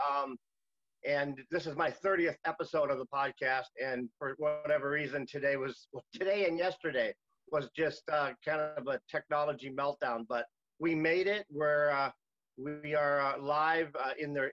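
A man's voice: on the high side, a bit bright, no room sound, muffled recording. A man in his fifties hosting a podcast, hesitant with many ums and uhs. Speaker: podcast host.

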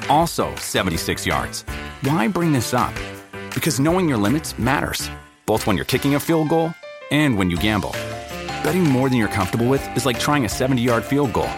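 A man's voice: mid-range voice, sing-song, fairly quick. A man reading a scripted public-service advert as a voice-over. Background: music.

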